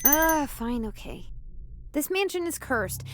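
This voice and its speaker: A woman's voice: high voice, big pitch swings, takes it slowly, slight background noise, exaggerated voice. A woman playing a naive, flustered young woman in a visual novel, earnest and easily exasperated. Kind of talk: visual novel character voice acting.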